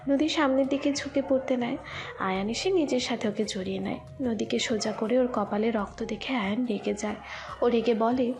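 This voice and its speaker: A woman's voice: fairly high pitch, moderate pace, a little mellow. A woman giving scripted, dramatic narration of a story as its narrator.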